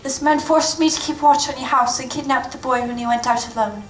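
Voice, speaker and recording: High-voiced, desperate young gang woman played by an actress. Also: steady tone, brisk, lightly acted. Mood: urgent, pleading. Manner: urgent, pleading, secretive. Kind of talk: staged radio play acting. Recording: echoey stage recording from far off.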